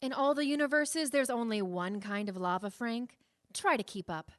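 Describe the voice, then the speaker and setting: An actress playing a proud, no-nonsense anthropologist adventurer, assertive and precise, in a sci-fi audio drama. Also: female voice, a little high, normal speed, no echo, clean studio sound, close to an everyday voice. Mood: briefing.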